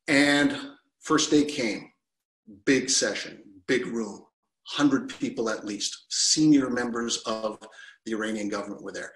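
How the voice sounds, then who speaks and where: A man's voice: mid-range voice, echoey room, rough recording. A middle-aged man from Canada, telling a personal story over a video call from his living room, gesturing with both hands. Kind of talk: personal storytelling to an online audience. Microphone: video call webcam.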